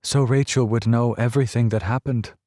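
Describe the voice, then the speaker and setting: A man voicing the ghost of a sorrowful younger sister, speaking in a hushed, reproving way. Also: medium pitch, fairly even intonation, a little fast, no echo, clean studio sound, pitched above the performer's own voice, lightly acted. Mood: earnest.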